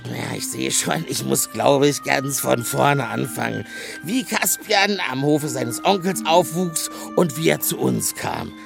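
An actor playing a stocky, hearty, talkative dwarf in a children's audio drama, with a gravelly, creaky voice and a blustery, excitable manner. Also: male voice, mid-range voice, measured pace, music in the background. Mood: explaining, hesitant. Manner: blustery, excitable, colourful speech.

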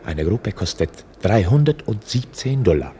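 An actor playing a chronicler in a radio drama, explaining things formally like a documentary reporter. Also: male voice, lowish voice, big pitch swings, unhurried, dark tone. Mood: explanatory, formal. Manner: explanatory, formal, theatrically varied delivery. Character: male chronicler presenting a mock documentary report. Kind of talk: scripted radio drama narration.

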